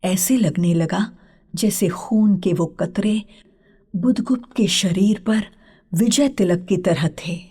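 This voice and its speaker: A woman narrating a literary story as a scripted storyteller, in a husky, breathy voice. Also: mid-range voice, takes it slowly, warm tone.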